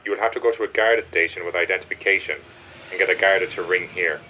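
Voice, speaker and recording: A man's voice: low-pitched, fairly even intonation, quick pace, sharp tone, a little hiss or hum, low-quality recording. A man acting a rule-bound Irish helpline official in a radio play, formal, firm and procedural.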